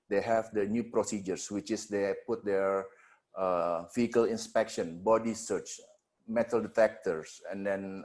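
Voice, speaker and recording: A man speaking as a security panelist on a webinar video call, halting with many fillers and restarts. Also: fairly low pitch.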